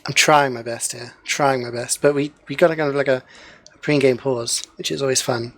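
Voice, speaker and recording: A man's voice: medium pitch, steady tone, fairly quick, fairly bright tone. Chatty male esports caster. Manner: chatty, heavy use of "you know" fillers, joking. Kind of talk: casual live game commentary.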